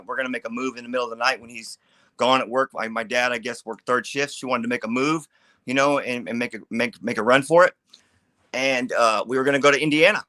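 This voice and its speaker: Bright, dry-voiced man in his forties. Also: mid-range voice, no room sound. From California, USA. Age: 40s. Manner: candid, plainspoken, casual grammar. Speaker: recovery advocate guest. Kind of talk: personal testimony.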